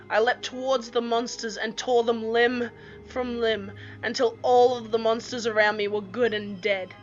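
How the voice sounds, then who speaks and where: A woman narrating a scripted first-person story in a dramatic, deliberate manner. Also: on the high side, pushed and bright. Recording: dull lo-fi microphone sound. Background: music.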